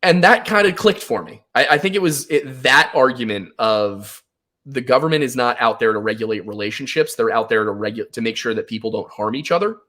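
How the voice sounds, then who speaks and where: A man's voice: medium pitch, brisk, bright, forceful tone. This is an American man in his twenties with an ordinary mid-range voice. He talks as a guest on a podcast, casual and conversational. He tends to explain at length, telling things as personal stories.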